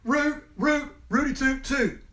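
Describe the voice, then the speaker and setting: A loud, bossy young capybara girl from a children's storybook, acted out by a man. The voice is pitched high and shows off like a smug know-it-all. It sounds in a roomy, echoing space. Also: slow pace, heavily acted. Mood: showy singing. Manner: loud, boastful, shouting answers.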